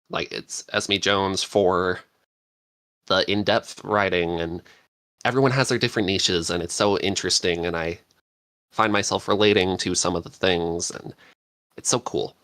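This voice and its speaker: A man's voice: sits low, a little slow, pauses often, pushed and bright, dry recording. A man hosting a podcast in casual, unscripted chat, rambling along with enthusiasm. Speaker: podcast host and interviewer.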